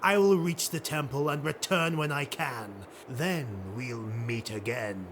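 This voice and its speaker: Snarling, cruel dark elf warlord. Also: male voice, medium pitch, takes it slowly, a little hiss or hum. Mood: seething, spiteful. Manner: snarling, commanding, contemptuous. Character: cruel, hate-filled dark elf highborn raider leader.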